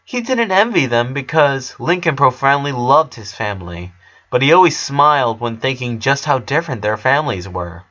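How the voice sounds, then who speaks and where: A man reading a story aloud as narrator, in an expressive storytelling style. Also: mid-range voice, moderate pace, dull audio. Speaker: narrator of a fan fiction story.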